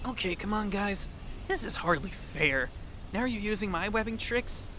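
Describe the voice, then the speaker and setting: Young male superhero, acted, high voice, quippy, earnest, urgent, superhero audio roleplay, noisy background. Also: animated, moderate pace. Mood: wisecracking. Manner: wisecracking, urgent. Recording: noisy vehicle-like ambience behind.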